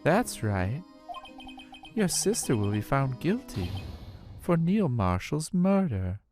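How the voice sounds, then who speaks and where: A man's voice: mid-range voice, sing-song, slow pace, darkish tone, faint music behind. A man voicing a smug, domineering middle-aged police chief in a fan voice-over of a courtroom game. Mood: menacing. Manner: smug, swaggering, menacing.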